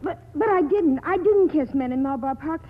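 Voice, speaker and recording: A woman's voice: sits high, animated, moderate pace. An actress playing a bashful, earnest young woman in love in a 1940s radio drama, flustered and naive. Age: young adult. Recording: tinny, distant old radio broadcast.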